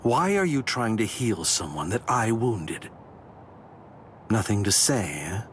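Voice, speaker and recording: An actor playing a cold, composed, menacing villain in an English anime dub, aloof, measured and condescending. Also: male voice, on the low side, big pitch swings, a little hiss or hum. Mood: cold menace.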